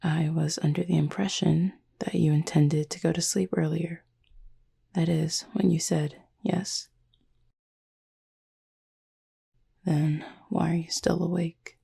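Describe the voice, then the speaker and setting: Woman playing a low, husky, formal demon roommate. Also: heavily acted. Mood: calm, teasing. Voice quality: husky, breathy, gravelly low voice. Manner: formal, measured, softly teasing speech. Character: calm, formal, gently teasing cupid demon roommate with horns. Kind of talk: soft-spoken roleplay sleep-aid audio.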